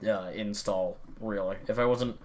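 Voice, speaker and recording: A man's voice: on the low side, steady tone, measured pace, slight background noise. A young man rambling casually at his computer about gaming, swearing often and full of fillers and restarts. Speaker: gaming vlogger.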